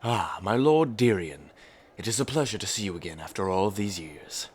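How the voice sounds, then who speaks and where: A man's voice: on the low side, animated, moderate pace, husky. A man voicing a polite, formal male royal steward who is courteous and slightly dry.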